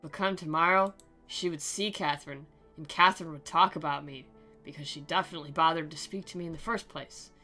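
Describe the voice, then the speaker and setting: A self-absorbed teenage anime hero, acted out by a woman, brooding and indifferent. The delivery is sarcastically melodramatic, in a slightly low, bright voice for a visual novel reading. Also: brisk, soft background music. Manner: mock-dramatic, sarcastic reading.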